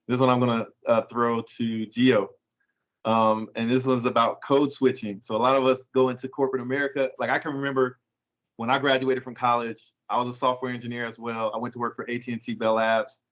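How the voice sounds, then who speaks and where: A man's voice: mid-range voice, level tone, brisk, a bit bright. A middle-aged man hosts a livestream panel in an upbeat, informal way. He drops in casual, plain-spoken asides between his questions. The video-call audio sounds a little dull and muffled. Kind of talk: moderating a live panel.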